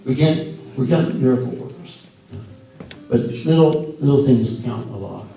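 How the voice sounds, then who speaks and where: A man with a scratchy voice giving a folksy, earnest talk at a church and answering questions, halting often with fillers and restarts. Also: mid-range voice, vocal fry, dark tone, faint music behind. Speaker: guest speaker. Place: church sanctuary. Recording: echoey hall from far off.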